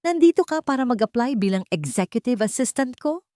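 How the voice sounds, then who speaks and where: A woman's voice: a little high, animated, brisk, warm tone, no echo, clean studio sound, voice pushed up, natural voice. A woman voicing a cold, rich young male boss in a radio-style story, mocking and threatening. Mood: scornful mockery.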